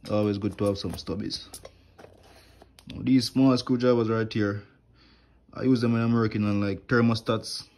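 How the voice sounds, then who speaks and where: A man's voice: lowish voice, moderate pace, pauses often. Casual man touring his tool bag. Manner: relaxed, plain talk with many "like" and "right here". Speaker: HVAC technician showing his tools. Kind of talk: casual show-and-tell vlog. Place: indoors at home, wooden floor. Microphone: phone camera held close, filming his hands.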